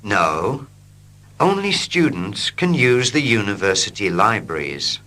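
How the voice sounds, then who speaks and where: A man reading short English dialogues slowly and clearly for learners, line by line. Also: fairly low pitch. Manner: slow, clear and expressive. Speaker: English teacher. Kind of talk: slow scripted reading for learners.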